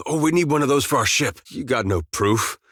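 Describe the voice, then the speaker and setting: A man playing an easygoing, wry farmer turned adventurer in a video game, with a laid-back, deadpan, folksy way of talking. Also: medium pitch, fairly quick, dry recording, clean studio sound. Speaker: video game companion character. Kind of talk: game dialogue and banter.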